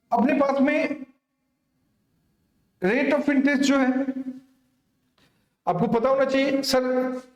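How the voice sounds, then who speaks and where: A man's voice: very high-pitched, a little mellow. A man teaches maths in a reverberant room. He explains step by step like a coaching teacher, repeats himself for emphasis and often asks his students, with affectionate address, whether they follow. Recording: echoey room.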